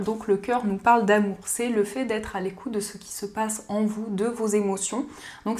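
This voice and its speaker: A woman giving a gentle, soothing daily card reading, slightly hoarse from a cold. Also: a little high. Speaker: card reader.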